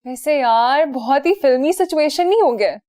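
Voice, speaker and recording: A calm, caring young friend. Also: female voice, high-pitched, normal speed. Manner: soothing, practical.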